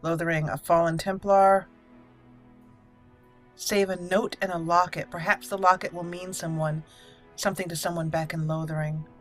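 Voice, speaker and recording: A woman giving casual, playful gameplay commentary with animated reactions, now and then reading game text aloud. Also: fairly low pitch, moderate pace, faint music behind. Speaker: host of a game roleplay channel.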